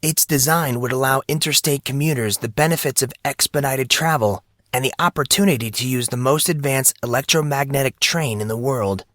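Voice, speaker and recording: A man voicing a formal, grave documentary news narrator in an audio drama, reading measured scripted lines. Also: mid-range voice, a bit bright, no room sound, clean studio sound, lightly acted.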